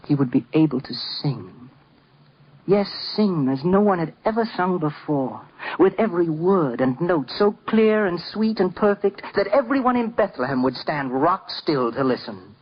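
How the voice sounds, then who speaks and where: A man playing a tender, theatrical storyteller, narrating a scripted 1950s radio drama in a deliberate, expressive way. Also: fairly high pitch, slightly rough. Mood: tender, earnest. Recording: dull 1950s radio recording. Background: music.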